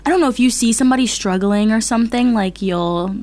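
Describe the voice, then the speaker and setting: A young woman from Virginia, a student guest, who rambles casually with many "like"s and pauses. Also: on the high side, a little mellow. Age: young adult.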